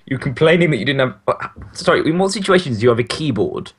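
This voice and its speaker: A man's voice: medium pitch, animated, fast, breathy edge. A man hosting a podcast in casual, teasing group banter over a voice call. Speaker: podcast host. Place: remote voice call.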